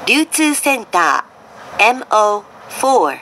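A woman announces stops on a train at a very slow pace. Her voice is bright and crisp-edged with lively, swinging intonation, and she speaks in formal, deliberate phrases. The cabin's noise can be heard behind her. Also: mid-range voice, low-quality recording. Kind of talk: scripted recorded train announcement. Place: played over a monorail car's speakers.

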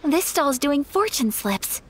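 A woman playing a polite, bashful young noblewoman in a video game, speaking formally and gently with some hesitation. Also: high-pitched, animated, takes it slowly, slight background noise.

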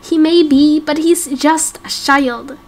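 Woman acting defiant protective lady in game story, high voice, earnest, accusing. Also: steady tone, takes it slowly. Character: defiant, protective woman challenging her leader.

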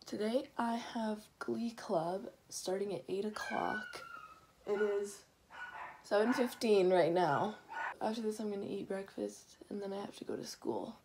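Hoarse teen girl vlogging. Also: measured pace, a little hiss or hum. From Canada. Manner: low and half-whispered at home, louder and livelier on the go. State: lost voice, hoarse. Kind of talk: casual vlog chat. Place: bedroom, car and school. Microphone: handheld camera at arm's length.

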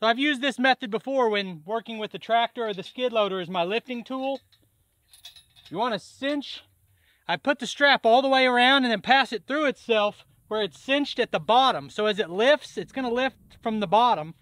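A man's voice: extremely high pitch, moderate pace, fairly bright tone, dry recording. A man in his thirties casually explaining a farm tool project outdoors, step by step, in a chatty vlog style. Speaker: farm vlogger. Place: outdoors at a farm, by a garage and a pond.

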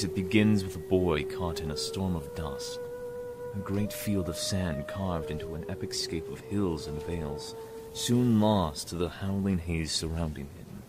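A man narrates an audio drama in a very low voice. His storytelling is literary and dramatic, with music clearly playing behind him.

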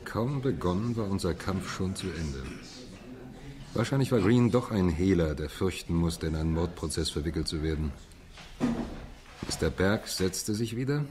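An actor playing a melancholy male lawyer who narrates a radio drama in a sombre, measured way. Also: low-pitched, animated, crowd noise. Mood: sombre, reflective.

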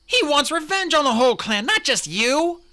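A man's voice: high-pitched, big pitch swings, normal speed, sharp tone, pitched above the performer's own voice, heavily acted. A man voicing an earnest male warrior cat in a storybook reading, speaking with urgent, commanding force. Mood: fierce protest.

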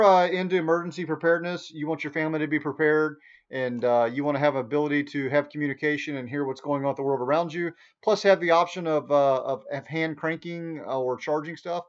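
A man in his forties giving a casual, folksy, unscripted product review to camera in a small room at home. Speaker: product reviewer, video host. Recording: dull, muffled low-fi sound.

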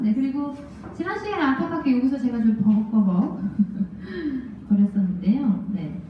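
A woman in her thirties lecturing a class with a handheld microphone, lively and quizzing students, laughing often. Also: a little high, slight background noise. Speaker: language test instructor. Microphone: handheld mic, far camera. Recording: echoey classroom from far off, dull.

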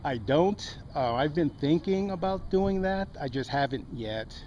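A man's voice: a little high, animated, a little slow. A man having a casual amateur radio conversation outdoors. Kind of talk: casual ham radio chat. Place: outdoors on an open hilltop park. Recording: noisy outdoor recording, muffled, loud background noise.

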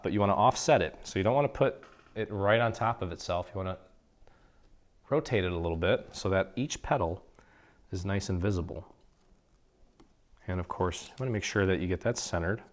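A man with a slightly hoarse voice from a cold, walking through a paper craft project in a relaxed, chatty way. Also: low voice. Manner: relaxed, chatty, clears his throat now and then. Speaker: craft tutorial host. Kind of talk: casual step-by-step crafting instruction. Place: indoor craft table.

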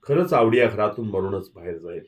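Man voicing a soft, devoted village woman. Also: fairly low pitch, animated, fairly quick, close to an everyday voice. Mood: devoted, hurt. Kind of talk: audiobook character voice.

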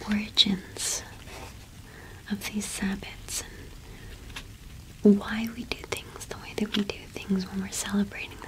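Casting: young woman, ASMR roleplay, soft whisper at close mic, very unhurried delivery. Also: mid-range voice, poor audio. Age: young adult. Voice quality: breathy whisper. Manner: slow, gentle, unhurried. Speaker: ASMR roleplay performer playing a shopkeeper witch. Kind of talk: ASMR roleplay, casual shop chat. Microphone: close microphone.

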